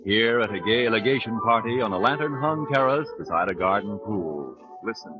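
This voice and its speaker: Male announcer, lively intonation, husky, emphatic sales read, thin vintage radio sound. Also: lowish voice, normal speed, music in the background. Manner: polished, emphatic sales delivery. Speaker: commercial announcer. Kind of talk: 1940s radio advertising read. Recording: phone-like, dry 1940s radio recording.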